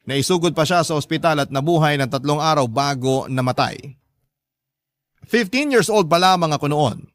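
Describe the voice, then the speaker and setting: Man narrating as a high-voiced, plaintive youngest son. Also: lively intonation, normal speed, no room sound, studio quality, lightly acted. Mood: earnest, wistful. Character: aggrieved youngest son recounting his hard life. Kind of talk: first-person radio drama narration of a letter.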